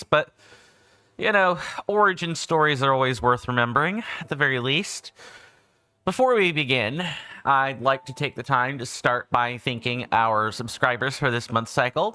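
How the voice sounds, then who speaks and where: A man's voice: mid-range voice, pushed and bright, smooth. A man, just woken up, chatting casually as a game stream host, rambling with frequent self-corrections. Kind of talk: casual livestream chat.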